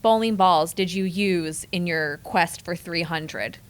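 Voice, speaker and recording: A woman's voice: lowish voice, slow. Playful woman in casual podcast chat. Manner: playful, self-deprecating. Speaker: podcast host.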